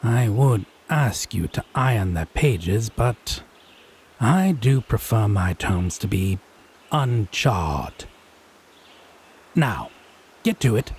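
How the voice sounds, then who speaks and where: An actor playing a haughty, teasing male Fae in an audio roleplay, speaking in an arch, pompous, theatrically drawn-out way. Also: fairly low pitch, expressive, a little mellow, husky, exaggerated voice. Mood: commanding, teasing. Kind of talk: scripted audio roleplay drama.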